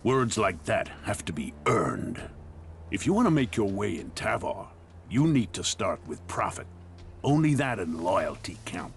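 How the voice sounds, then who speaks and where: A man's voice: on the low side, sing-song, unhurried, creaky, full character voice. A man playing a ruthless gang boss who rules a wasteland town, menacing, boastful and blunt, in a video game. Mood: challenging.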